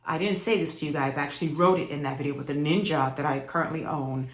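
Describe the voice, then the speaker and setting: A woman's voice: deep voice, steady tone, fast. A young woman from Jamaica, raised in New York, chatting casually to camera about fashion, laughing often and using lots of fillers. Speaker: fashion vlogger. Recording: echoey room.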